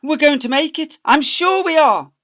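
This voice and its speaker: A man's voice: very high voice, animated, moderate pace, a bit bright, pitched above the performer's own voice. A man voicing a father fox who urgently rallies his family in a panting manner, in a children's storybook reading. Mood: urgent, encouraging.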